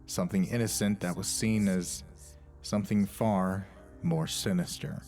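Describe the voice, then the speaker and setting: A man's voice: low-pitched, steady tone, unhurried, darkish tone, faint music behind. A man reading scripted, documentary-style narration for an online video.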